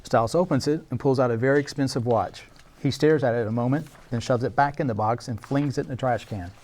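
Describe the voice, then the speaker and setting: A man's voice: medium pitch, fast talker, darkish tone. A man reading scene descriptions and stage directions as the narrator of a screenplay table read.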